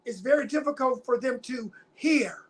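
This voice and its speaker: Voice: high voice, normal speed. A guest in their fifties giving personal testimony in a casual interview, speaking earnestly and repeating phrases for emphasis. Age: 50s.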